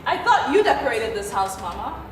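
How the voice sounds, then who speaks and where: A woman's voice: on the high side, sing-song, fairly quick. A young woman playing a concerned, incredulous grown daughter in a stage play. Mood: worried, incredulous. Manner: questioning, incredulous. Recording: distant, reverberant, noisy theatre recording.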